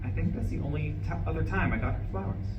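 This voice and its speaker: A man's voice: a little high, fast, dull audio, lightly acted. An actor playing a distraught, irritable, newly divorced man in a live stage play reading. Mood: wistful, grieving. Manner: faltering, sighing, swinging into bitter outbursts. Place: indoor room at a live reading. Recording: echoey room, distant mic, faint noise.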